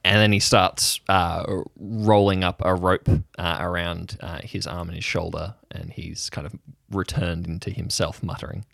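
Low-voiced man narrating an improvised story. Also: flat delivery, gravelly, a bit bright, no room sound, studio quality. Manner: halting, searching for words. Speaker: game master narrator.

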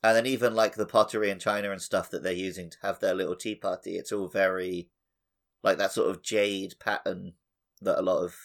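Low-voiced man, slightly creaky. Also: fairly even intonation, fairly quick. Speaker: podcast host. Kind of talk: casual podcast chat.

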